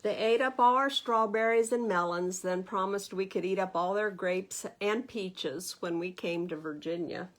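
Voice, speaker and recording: A woman reads a picture book aloud to young children as a storytime librarian, expressively, with gentle conversational asides and questions. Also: medium pitch, moderate pace, few pauses.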